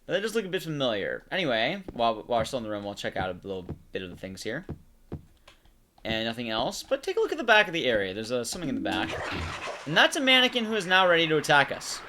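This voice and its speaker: A man's voice: mid-range voice, wildly swooping pitch, fast talker, background noise. A man giving casual live gameplay commentary in a conversational way, explaining things to his audience. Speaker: streamer and commentator.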